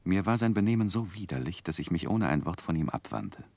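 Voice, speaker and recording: An actor playing an earnest, tender gentleman who narrates his life in a gentle, reflective, expressive way in a 1960s German radio play. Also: low voice, brisk, vocal fry, dry recording. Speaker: first-person narrator and lead.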